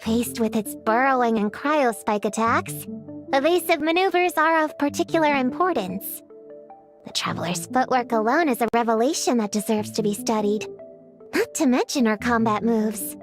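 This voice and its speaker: Anime game witch kid voiced by a woman: high-pitched, self-serious, studious, stubborn. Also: lively intonation, normal speed, music in the background, close to an everyday voice. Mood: studious, self-absorbed. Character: bookish young witch girl, stubborn, proud, self-serious. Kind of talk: anime-style video game character acting.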